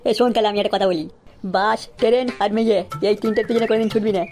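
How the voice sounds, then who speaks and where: An actor playing a brisk, bossy, street-wise village man in a comedy cartoon, chatty and know-it-all. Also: mid-range voice, fairly even intonation, a little mellow, soft background music, natural voice. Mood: chatty, teasing. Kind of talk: Bengali comedy cartoon acting.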